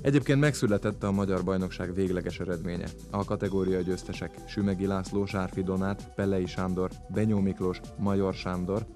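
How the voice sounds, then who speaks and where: A man with a very low voice reads a sports report from a script. His delivery stays measured and even. Music plays clearly behind him throughout. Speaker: narrator.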